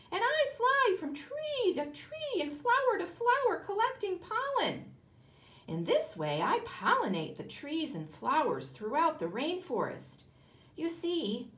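A woman squeaking as a tiny earnest bee. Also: full character voice. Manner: very high, bright squeak. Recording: echoey room.

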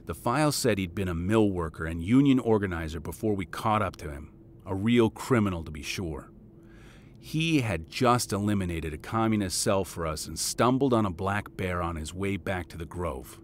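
A man playing a devoted father and veteran intelligence monitor in an audio drama, speaking in a measured, matter-of-fact way. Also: expressive. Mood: grim, reflective. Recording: audible low rumbling background noise.

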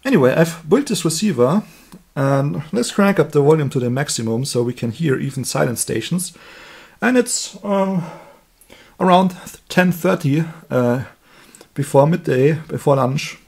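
A man with a slightly husky voice giving an unscripted hands-on electronics demonstration, explaining step by step as the presenter. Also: fairly high pitch, lively intonation, a little slow, a little mellow. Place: indoors at a table.

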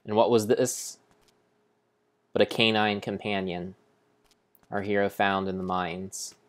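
Deep, slow-paced young man narrating dramatically. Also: fairly even intonation. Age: young adult. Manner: solemn, deliberate, theatrical storyteller. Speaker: game streamer. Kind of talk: dramatic storybook-style narration over gameplay.

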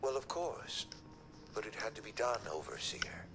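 Fretful robot physician, formal, hesitant, very animated pitch, noisy game ambience. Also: male voice, moderate pace, vocal fry. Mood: unctuous. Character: male robot doctor, anxious and unctuous. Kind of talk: video game character acting. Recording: game audio with loud ambient noise.

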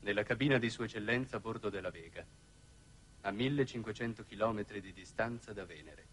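An actor playing a sober, matter-of-fact official aide in a 1960s Italian television drama, with a clipped, formal manner. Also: male voice, on the low side, brisk, fairly bright tone, slight background noise, lightly acted. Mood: formal report.

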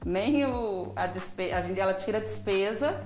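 A woman's voice: mid-range voice, a little slow, darkish tone, reverberant, soft background music. A woman in her thirties, a mother being interviewed at home, explaining things earnestly and step by step into a reporter's handheld mic. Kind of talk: casual interview answers. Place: home kitchen indoors.

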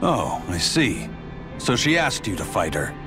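A man playing a gruff, protective older brother warrior in a video game, brooding and sparing with words. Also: fairly low pitch, big pitch swings, unhurried, over music. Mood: guarded, moody. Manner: laconic, gruff, hesitant. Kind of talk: video game cutscene acting.

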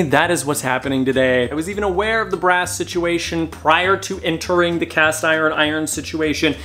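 A man in his thirties filming a casual haul vlog in a cluttered storage room, talking straight to the camera in an animated, self-mocking way. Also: fairly high pitch. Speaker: reseller vlogger.

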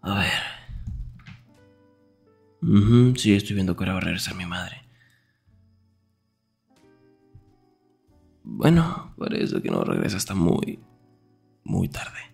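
A man acting a grumpy, jealous, protective young best friend in an intimate Spanish-style ASMR roleplay, breathy and often whispering, defensive and sarcastic. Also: low voice, big pitch swings, unhurried, long pauses, a little mellow, soft background music, exaggerated voice. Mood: tired, hushed.

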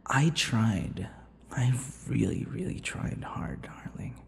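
A man's voice: lowish voice, mellow, in a large hall, heavily acted. A man playing an obsessive, vain, sadistic vampire lover in an intimate ASMR roleplay, drawing out words and slipping into whispers. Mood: bitter, wounded. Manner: slow, breathy whispers and giggling, drawn-out words. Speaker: roleplay voice actor.

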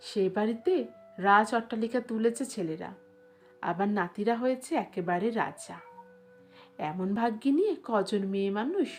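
A woman's voice: medium pitch, lively intonation, measured pace, a little mellow, soft background music, natural voice. A woman narrator voicing a wistful, admiring old village matron in an audio story, exclaiming in a gossipy way. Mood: wistful admiration. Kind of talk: audio storybook character dialogue.

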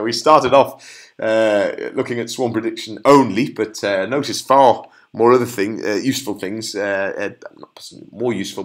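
A man's voice: mid-range voice, a bit bright. A middle-aged man from the UK giving an informal product presentation as a beekeeper and founder, in a conversational, understated, lightly wry way.

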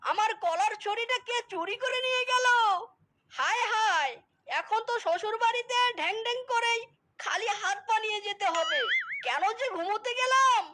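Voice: extremely high pitch, animated, normal speed, bright, forceful tone, full character voice. A performer voicing a whiny, melodramatic young male fox in a cartoon, wailing and lamenting theatrically. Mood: wailing panic. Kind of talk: cartoon voice acting.

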